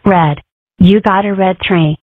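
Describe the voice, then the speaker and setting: A woman's voice: mid-range voice, fairly even intonation, measured pace, no echo, close to an everyday voice. A woman voicing an opinionated, mischievous, sarcastic schoolgirl in a comic strip dub, wry and argumentative. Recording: muffled, phone-like narrow sound.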